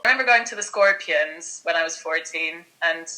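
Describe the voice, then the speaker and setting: A young woman from Greece, a band member, talks eagerly in a casual interview. Her voice is very bright and sits fairly low, and her sentences stop and restart often. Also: brisk, poor audio. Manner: eager, filler-heavy talk with restarts.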